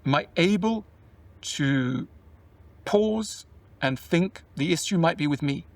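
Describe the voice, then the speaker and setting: A man's voice: a little high, animated, no room sound. Thoughtful man, slightly distant mic. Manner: measured and explanatory, poses questions to the listener. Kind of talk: reflective conversational talk.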